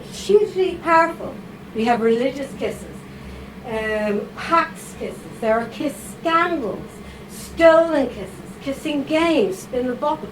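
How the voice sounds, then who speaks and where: A woman giving a chatty, informal talk to a live audience, full of asides, fillers and quick "okay?" checks. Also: sits high, rough recording. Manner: chatty, animated, with frequent fillers and tag questions. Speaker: writer giving a reading. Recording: audible background noise.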